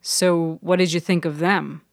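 Fiction podcast role: cautious young man, read by a woman, terse, earnest, lowish pitch, dry sound. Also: steady tone, measured pace, no echo. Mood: wary. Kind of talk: audio fiction character dialogue.

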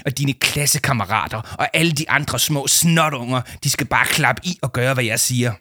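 A man voicing a pompous, furious middle-aged male official who shouts and rants in a shrill voice. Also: big pitch swings, full character voice. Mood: raging. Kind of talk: audiobook character voice.

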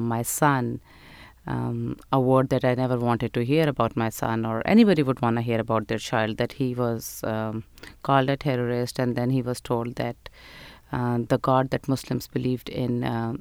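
A woman's voice: very deep voice, darkish tone, smooth, dry recording. A woman, telling her story earnestly as an interview guest. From Pakistan. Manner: earnest, measured storytelling with fillers. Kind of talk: interview answers.

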